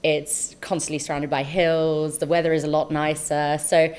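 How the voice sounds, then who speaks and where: A woman's voice: low-pitched, level tone, normal speed, a little mellow, clean tone. A young woman with a London accent, chatting enthusiastically and reflectively as an interview guest. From South London, England. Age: young adult. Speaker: podcast guest, medical student. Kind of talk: casual interview conversation.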